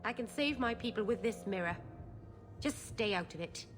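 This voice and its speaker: A woman's voice: medium pitch, expressive, moderate pace, over music, close to an everyday voice. A woman playing an earnest, stubborn and sensitive young elf mage in a video game. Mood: angry, hurt. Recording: long hall-like reverb.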